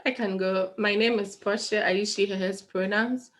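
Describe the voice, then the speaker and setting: A young woman from Ghana, a second-year graduate student, introducing herself briskly on a video panel. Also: medium pitch, steady tone. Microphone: video call.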